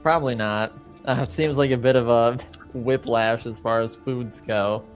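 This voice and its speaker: A man's voice: mid-range voice, fairly even intonation, unhurried, soft background music. A man talking casually as a game master among friends, with a hesitant, wry manner.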